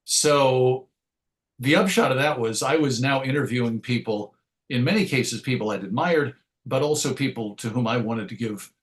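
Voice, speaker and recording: Male interview guest, writer type: conversational, thoughtful and wordy, with hesitant phrasing and a medium-pitched voice. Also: moderate pace. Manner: reflective, wordy, hesitant phrasing with self-corrections and wry asides. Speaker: interview guest, comics writer and radio host.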